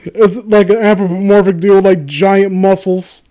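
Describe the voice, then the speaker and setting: A man's voice: high-pitched, flat delivery, normal speed, warm tone. A man reading a story aloud as a comedy host, breaking off into sarcastic, incredulous, sweary commentary.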